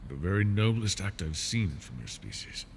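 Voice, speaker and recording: A man playing a gravelly, proud wounded dragon. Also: sits low, very animated, normal speed, slight background noise, exaggerated voice. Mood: relieved, grudging. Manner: haughty and scornful, grudging. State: in pain, tired. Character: proud wounded male dragon, haughty, boastful. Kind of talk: ASMR fantasy roleplay.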